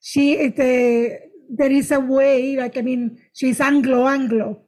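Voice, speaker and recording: Woman, middle-aged, high voice, animated storyteller, comedian guest. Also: slow. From Nicaragua. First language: Spanish. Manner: animated storytelling, frequent restarts and laughs. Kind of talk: casual interview chat.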